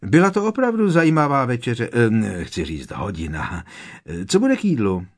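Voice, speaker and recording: Rattled teenage schoolboy, as a man puts him on, high babbling voice, husky, audiobook. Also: wildly swooping pitch. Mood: shaken babbling. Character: frightened teenage schoolboy, nervously chattering.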